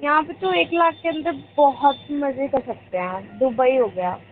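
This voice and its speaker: A clearly high, pouty young bride-to-be, played by a woman, chats on a tinny phone line with faint noise. She is casual but insistent, as in an intimate call drama. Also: measured pace.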